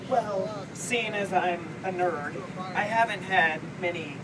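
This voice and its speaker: Man playing awkward nerd, distant and noisy. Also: takes it slowly. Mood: awkward, earnest. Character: awkward nerdy homebody gamer suitor living with mom. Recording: distant mic, loud vehicle-like rumble.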